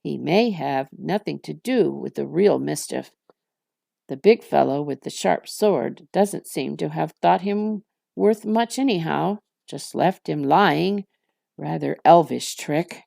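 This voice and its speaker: A woman's voice: deep voice, lively intonation, takes it slowly, gravelly, dark tone, dry recording, clean studio sound, heavily acted. A woman voicing a sly, gloomy male orc captain from a fantasy storybook, needling and mocking as she speaks. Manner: sardonic, needling.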